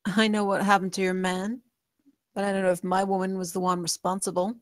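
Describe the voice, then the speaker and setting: A woman's voice: mid-range voice, level tone, fairly quick, no echo, clean studio sound, lightly acted. A woman in a script table read playing a composed, fearless gang matriarch who speaks with controlled deference. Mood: composed, deferential.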